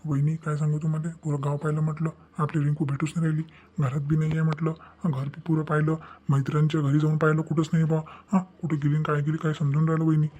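Fast-talking, fretful village father acted by a man. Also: a little high, completely flat delivery, dark tone, close to an everyday voice. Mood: distressed. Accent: rural Maharashtrian. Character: worried village father covering up a scandal. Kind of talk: Marathi comedy cartoon dialogue.

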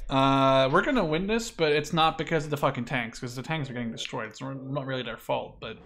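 A man in casual gaming chat, excitable and sweary, bossing his squad with repeated callouts. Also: medium pitch, fairly quick, gravelly. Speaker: gamer leading his squad.

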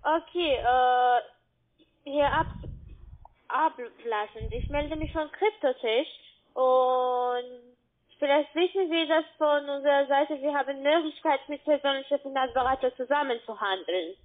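A woman telemarketer with a foreign accent, giving a halting sales pitch by phone in non-native phrasing. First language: not German. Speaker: cold-calling telemarketer. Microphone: telephone. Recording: narrow-band phone line.

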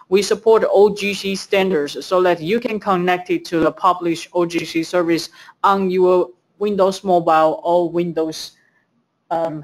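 A woman's voice: lowish voice, poor audio. A woman hosting a webinar and presenting slides, reading out lists with some fillers. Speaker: webinar host.

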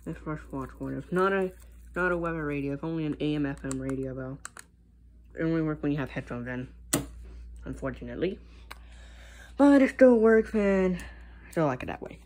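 A hobbyist, heard as a woman, giving a casual, rambling, unscripted show-and-tell of a collection into a handheld camera. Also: medium pitch. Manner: rambling, unscripted, often unsure. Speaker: hobbyist collector showing weather radios. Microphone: handheld phone or camera. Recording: loud background noise, handheld camera audio.

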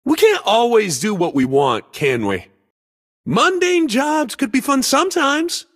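A man voicing a brave, jolly, dutiful panda assassin in a video game, with husky, theatrical, emphatic short declarations. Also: extremely high pitch, sing-song, unhurried, heavily acted. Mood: wry, playful. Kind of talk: video game character voice lines.

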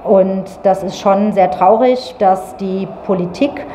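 Middle-aged female interviewee, serious statement, average pitch, echoey tiled room with faint noise. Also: slow pace, mellow.

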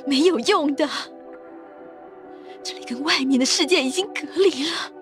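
A woman playing a resigned, soft-hearted girl in an amateur audio drama. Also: sits high, moderate pace, music in the background, close to an everyday voice. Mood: resigned explaining.

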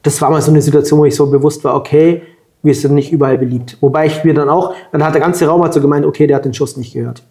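A man from Germany in his forties, telling lively travel anecdotes as the guest at a table interview, on a lapel mic. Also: on the high side, fast. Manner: lively anecdotal storytelling, colloquial. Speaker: guest, long-distance scooter traveller. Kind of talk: storytelling in a sit-down interview. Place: room with plants at a table.

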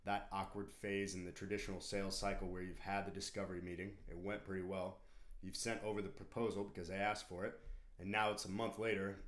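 A man in his thirties with a notably low voice hosts a sales-training explainer. He speaks to camera from a desk in a small home office. The room adds a clear echo. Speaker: sales trainer and video host.

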